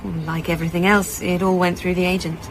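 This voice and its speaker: A woman's voice: fairly low pitch, flat delivery, fast talker, background noise, natural voice. An actor playing a flustered woman answering police questions in a radio drama, hesitant in manner. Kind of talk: naturalistic radio drama acting.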